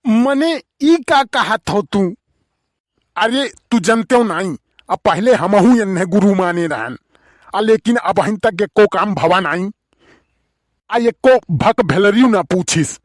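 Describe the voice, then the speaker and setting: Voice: mid-range voice, animated, takes it slowly, no echo, clean studio sound. A performer voicing a nosy, gullible village bachelor in a comedy cartoon, drawling inquisitively in an Awadhi accent. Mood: indignant.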